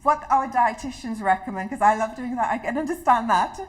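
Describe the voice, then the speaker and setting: A woman's voice: on the high side, a little fast. A woman from Trinidad, leading an interactive health talk to an audience in a chatty, personal way. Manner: warm, chatty, personal, restarts often. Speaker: health educator. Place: community hall with a live audience.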